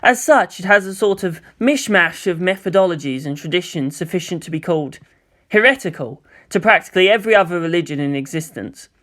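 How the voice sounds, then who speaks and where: Animated, lower-voiced woman narrating fiction. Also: fairly quick. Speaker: audiobook narrator. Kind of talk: expressive story narration.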